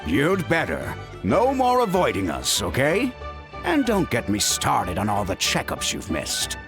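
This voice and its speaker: A caring, exasperated man in a video game, scolding and fussing. His pitch sits mid-range but swings very widely, with faint music behind him. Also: a little slow. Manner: scolding, expressive. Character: fussy, caring adult male doctor, exasperated but kind.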